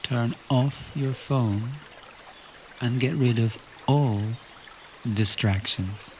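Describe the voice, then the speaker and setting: A man leading a guided relaxation meditation, giving calm instructions in short phrases with long pauses between them. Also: medium pitch, a little hiss or hum.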